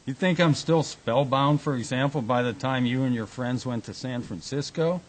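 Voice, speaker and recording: A man's voice: medium pitch, moderate pace, lightly acted. A man acting a teasing, devoted husband and Navy veteran in a radio drama, wisecracking and plain-spoken. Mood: needling.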